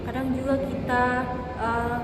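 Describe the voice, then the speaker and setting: A woman's voice: a little high, unhurried, echoey room, big-room sound, background noise. A young woman co-hosting a casual student podcast, chatty and full of fillers. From Palembang, Indonesia. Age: young adult. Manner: chatty, many fillers, lively acted-out asides.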